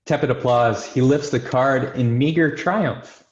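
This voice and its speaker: A man's voice: medium pitch, measured pace. A man narrating a screenplay's stage directions in a scripted table-read style. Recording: roomy, dull remote call audio.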